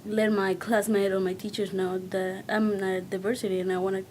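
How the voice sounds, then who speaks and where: A young woman from Mexico with a Mexican Spanish accent, answering interview questions as a student and pausing to search for her words. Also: mid-range voice, little pitch movement, darkish tone, slight background noise. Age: young adult.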